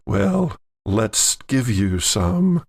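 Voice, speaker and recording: A menacing shadow creature of a man, as a game master plays him, taunts his prey in an audio horror game. The delivery is extremely slow and drawn out, close and dry. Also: medium pitch, big pitch swings, husky, no echo, full character voice. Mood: sinister taunting.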